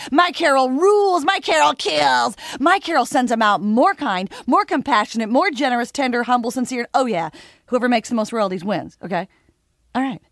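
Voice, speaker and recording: High, wildly animated, brash boaster. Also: female voice, no room sound, clean studio sound, exaggerated voice. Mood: pumped, defiant. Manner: cocky, punchy, emphatic. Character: brash, boastful, combative theatre adapter challenging rivals. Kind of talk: comic dramatic monologue.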